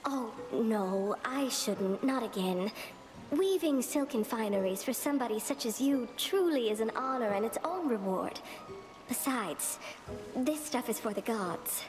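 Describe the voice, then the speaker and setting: Languid, echoing spider weaver. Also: female voice, on the high side, animated, measured pace. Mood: coy, genteel. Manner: languid, genteel, fussy. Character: dreamy, vain, dainty spider weaver woman. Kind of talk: video game voice acting. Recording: echoing, long reverb, music behind.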